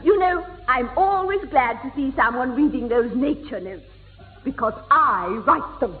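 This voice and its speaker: A woman's voice: sits high, expressive, measured pace, soft background music. A woman playing a prim, formal, easily offended lady in a 1960s radio sitcom. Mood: gracious, grand. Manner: grand, precise, prim. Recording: old tinny 1960s radio broadcast, hall, noisy.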